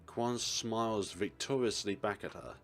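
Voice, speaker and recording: A man's voice: on the low side, unhurried. A man hosting a let's play, mixing casual, wry commentary with reading the game text aloud.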